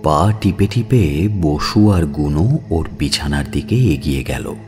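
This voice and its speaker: A man with a very deep voice narrates an audio story. His delivery is measured and slow, yet his pitch moves expressively through the telling, over soft music. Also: animated.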